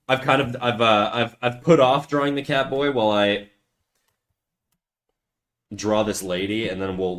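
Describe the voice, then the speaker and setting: Dude casually streaming while he draws, cussing a lot, self-deprecating, keeps restarting sentences. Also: lowish voice. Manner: rambling, profane, self-mocking, with frequent restarts. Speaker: livestreamer and artist. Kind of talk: casual livestream chat while drawing.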